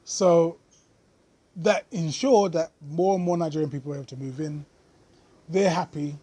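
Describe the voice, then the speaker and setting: Husky-voiced man, earnest vlog commentary. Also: on the high side, steady tone, dark tone. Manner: earnest, thoughtful, with frequent pauses and fillers. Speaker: vlogger. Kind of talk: unscripted commentary to camera.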